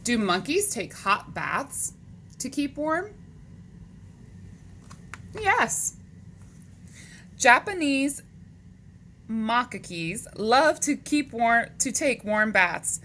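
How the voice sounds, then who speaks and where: A woman's voice: fairly high pitch, sing-song, drawn-out words, long pauses, bright, forceful tone. A woman reading a children's book aloud, speaking to young listeners in a question-and-answer manner, on a noisy recording.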